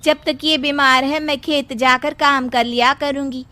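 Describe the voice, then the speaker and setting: A dutiful young village wife acted by a woman from a cartoon story. She speaks respectfully and earnestly, in a high, very bright voice. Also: fairly even intonation, unhurried. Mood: reassuring. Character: dutiful, determined young village daughter-in-law.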